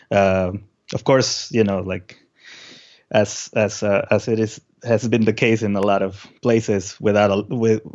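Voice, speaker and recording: A male musician from Peru who grew up speaking recounts things in a relaxed interview. His voice sits on the low side and he feels his way through sentences haltingly. The sound is a little dull and lo-fi. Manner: halting, thinking aloud. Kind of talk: casual interview storytelling.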